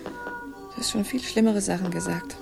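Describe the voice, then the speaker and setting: A woman's voice: medium pitch, huge pitch swings, moderate pace, vocal fry, over music. An actress playing a firm, wounded young woman in love in a 1960s radio crime drama, direct and resolute. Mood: resolute, hurt.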